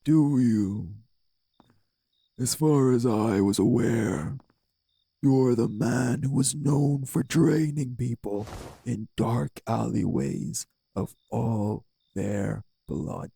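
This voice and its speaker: A man acts out a refined, bitter vampire sire in an audio drama. His speech is very slow and formal, deeply gravelly and breathy, with cold menace. Music plays behind him. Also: dark tone, dry recording, full character voice. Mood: menacing, mocking. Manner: formal, deliberate, menacing, haughty diction. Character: refined, bitter, possessive old vampire sire, jilted husband. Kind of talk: dramatic audio roleplay acting.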